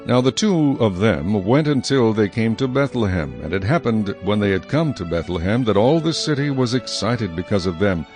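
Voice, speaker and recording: A male narrator reads scripture in a fairly low voice. His delivery is measured and solemn, with faint music beneath it. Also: animated, a little mellow.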